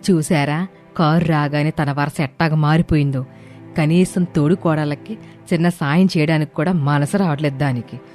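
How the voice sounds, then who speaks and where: A woman's voice: sits low, steady tone, normal speed, a little mellow, soft background music, natural voice. A woman voicing a bitter, scornful older mother-in-law in a family moral story, grumbling and accusing. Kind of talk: animated moral story dialogue.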